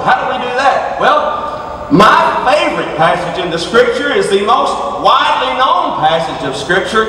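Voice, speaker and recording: An older man preaching a sermon, dropping to hushed low lines and rising to shouted high peaks as he moves around a large stage. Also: slight background noise. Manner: moves about the stage gesturing while preaching. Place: large dark auditorium stage. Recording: echoey hall from far off.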